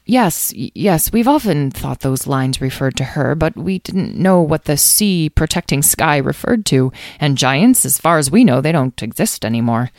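A woman voicing a thoughtful, worried male guardian in a storybook, measured and cautious. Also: deep voice, animated, a little mellow, dry recording, clean studio sound.

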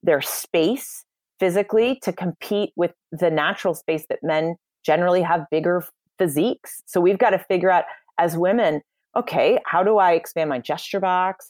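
A woman's voice: on the low side, darkish tone, dry recording. A woman giving confident, emphatic advice on communication in a podcast interview. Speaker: communication coach for lawyers.

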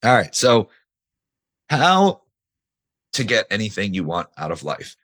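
A man, hoarse and nearly losing his voice, hosting a casual solo podcast and stressing his points with the odd swear. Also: fairly low pitch, sing-song, measured pace, a bit bright. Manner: casual, emphatic, swears now and then. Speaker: podcast host.

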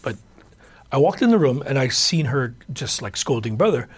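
Husky middle-aged guy on a podcast, rambly storytelling, lots of uh and you know. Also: mid-range voice, lively intonation, normal speed. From Hawaii. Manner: storytelling, many fillers and restarts. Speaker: podcast host. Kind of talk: casual chat.

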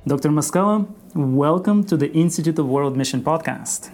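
A man hosting an interview podcast in a formal, scripted style, measured and courteous. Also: a little high, over loud music.

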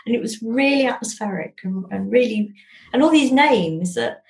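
A woman's voice: a little high, lively intonation, normal speed, creaky, darkish tone. Chatty English woman telling stories. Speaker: interview guest, novelist. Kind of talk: casual conversational storytelling.

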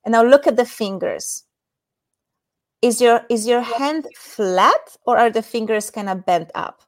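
A woman's voice: on the high side, a little slow. Polish-accented woman explaining intimacy. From Poland. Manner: earnest, explanatory, guides listeners through exercises. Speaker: intimacy coach guest. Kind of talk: podcast interview.

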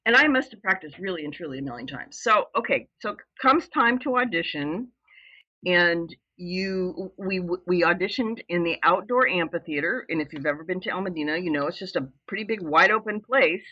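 An older woman in her seventies, from Southern California, tells her story with a loud and exuberant delivery. Her voice sits a little low but rings bright and forceful. The audio sounds somewhat dull and lo-fi. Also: lively intonation, moderate pace, dry recording. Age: 70s. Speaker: podcast guest. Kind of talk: telling a personal story in an interview.

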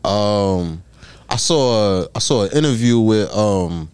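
Guy in his 30s, lowish smooth voice, chill storytelling. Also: slow pace, no room sound. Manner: relaxed storytelling. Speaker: guest comedian. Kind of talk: casual chat.

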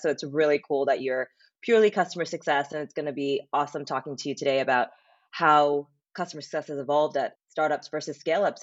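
Low-voiced woman hosting a podcast. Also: no room sound, dull audio. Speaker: podcast host. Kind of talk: scripted podcast intro and interview.

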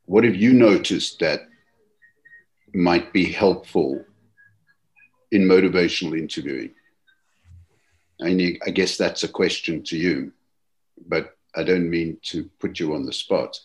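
Deep-voiced man hosting a webinar. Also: a little slow, pauses often. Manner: gentle, reflective, courteous. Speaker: webinar panelist and host. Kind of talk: live webinar discussion.

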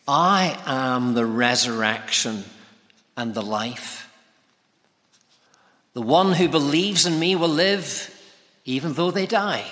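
A man preaching an expository sermon, speaking with emphatic, deliberate phrasing. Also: a little high, bright, forceful tone. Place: large reverberant church or hall. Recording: hall reverb.

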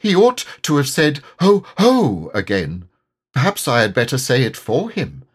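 A man voicing a small, nervous storybook piglet with a squeaky, flustered voice. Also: huge pitch swings, husky, full character voice. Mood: anxious. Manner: nervous, hurried.

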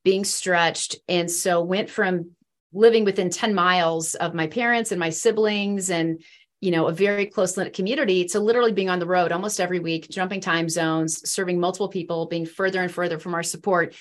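This is a woman in her forties from the Midwest who talks at length about her life. She comes across as earnest and rambling, with plenty of fillers along the way. Her speaking voice sits a little low. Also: fairly even intonation, fairly quick. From East Central Illinois. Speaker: guest. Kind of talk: faith testimony in a podcast interview.

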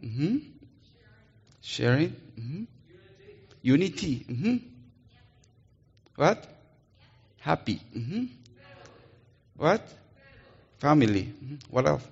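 A man's voice: a little high, big pitch swings, extremely slow, gravelly, long pauses, breathy edge. A Colombian man preaching with a Colombian Spanish accent, playfully drawing answers from the congregation. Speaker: missionary preacher. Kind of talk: interactive sermon. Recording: muffled sound in a reverberant hall.

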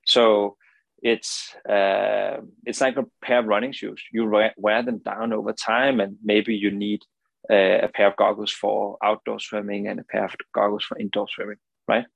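Gravelly Danish-accented man, flat voice. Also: fairly low pitch, normal speed, no room sound, highs cut off. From Denmark. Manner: earnest, many restarts and "right?" tags. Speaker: founder of a swim goggle company. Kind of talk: podcast interview.

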